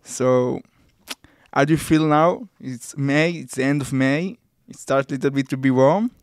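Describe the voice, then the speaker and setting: A man with an Israeli accent, a native Hebrew speaker, hosting a casual interview in halting, non-native English phrasing. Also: mid-range voice, smooth, no room sound, studio quality. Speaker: podcast host.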